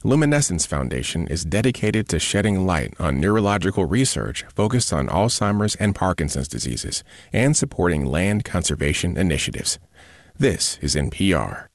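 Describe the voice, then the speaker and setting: A man's voice: low-pitched, sing-song, normal speed, no echo. A man reading a scripted sponsor announcement.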